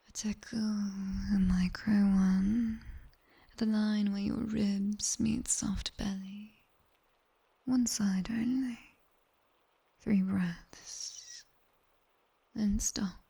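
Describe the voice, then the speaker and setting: A British woman playing a sharp-tongued, teasing demoness tutor in a soft, whispered ASMR roleplay. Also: mid-range voice, steady tone, creaky, breathy edge. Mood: soothing, guiding. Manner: slow, hushed, teasing and bossy.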